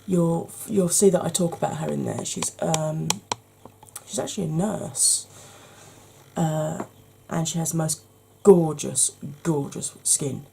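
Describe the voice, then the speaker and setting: A woman, hoarse from hay fever, chatting through a makeup tutorial step by step and speaking straight to her viewers, with frequent ums. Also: low voice, takes it slowly, warm tone, a little hiss or hum.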